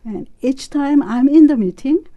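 A woman in her sixties, a club member talking about her club in an interview, speaking haltingly with many pauses. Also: sits high, animated, mellow, highs cut off. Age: 60s. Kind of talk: casual interview chat.

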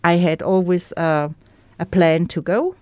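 A woman with a German accent, a native German speaker, candidly sharing her recovery story as a guest in an interview, pausing to search for words. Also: fairly low pitch, darkish tone, smooth, no room sound. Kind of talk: personal testimony in an interview.

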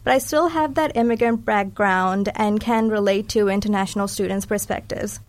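A woman's voice: mid-range voice, moderate pace. A young woman from New Zealand with Sri Lankan roots, hosting a casual interview podcast in an upbeat, informal way. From Sri Lanka, raised in New Zealand from age eight. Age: young adult. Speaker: podcast host.

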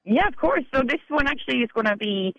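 A woman's voice: sits high, expressive, quick pace, no room sound, lo-fi sound. A woman with a Cork Irish accent, a poet talking casually in a radio interview, full of "like" and "you know". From Ireland. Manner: chatty, many "like"s and "you know"s. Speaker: poet guest.

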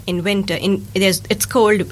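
A woman's voice: mid-range voice, steady tone, a little slow, a little hiss or hum. A woman guest in a casual radio chat, earnest and easygoing, with many fillers. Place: radio studio.

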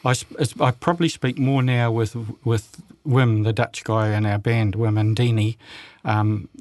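An older man of about seventy, from New Zealand, talks as a guest on local radio. His voice sits low and the sound is close and dry. He speaks haltingly, full of ums and restarts. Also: steady tone, darkish tone, no echo. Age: around 70. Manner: halting, many fillers and restarts, chuckles. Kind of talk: casual radio interview.

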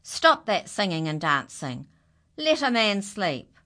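A woman voicing a grumpy farmer who shouts at his animals, bossy and loud in a storybook reading. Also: deep voice, fairly even intonation, normal speed. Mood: angry.

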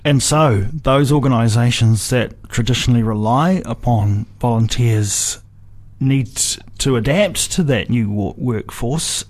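Measured New male radio host. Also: mid-range voice, a little mellow. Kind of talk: conversational interview.

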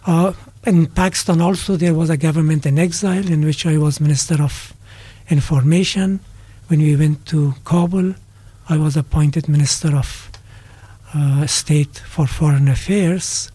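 Soft-toned older man from Afghanistan. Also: fairly high pitch, fairly even intonation, normal speed, breathy edge, no room sound. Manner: thoughtful, with frequent hesitations and restarts. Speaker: guest, retired politics lecturer. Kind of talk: radio interview answers.

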